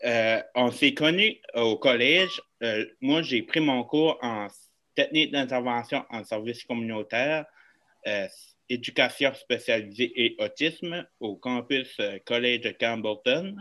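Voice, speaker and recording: A man hosts a relaxed podcast interview. His voice is bright and forward, and his friendly, halting delivery is full of fillers. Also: medium pitch. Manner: friendly, hesitant, many fillers. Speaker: podcast host.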